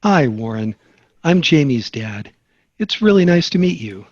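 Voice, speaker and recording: A dull, lo-fi close recording of a man playing a sitcom dad. His husky voice swings widely as he coaxes and instructs, practical and friendly. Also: fairly high pitch, measured pace, no room sound. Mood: friendly, reassuring. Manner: animated, coaxing.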